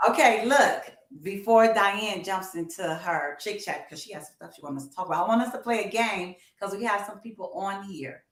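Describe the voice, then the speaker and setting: A woman's voice: medium pitch, animated, normal speed, hardly any pauses. A woman in her fifties co-hosting a casual livestream, talking animatedly and encouragingly straight to her viewers. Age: 50s. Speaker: co-host of a music talk livestream. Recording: roomy, echoey livestream sound.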